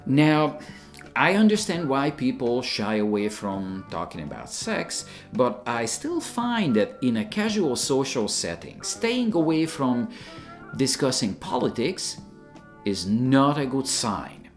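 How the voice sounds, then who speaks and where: A man's voice: medium pitch, sing-song, slow, soft background music. An older man from Hungary, a retired teacher giving an emphatic, opinionated scripted narration.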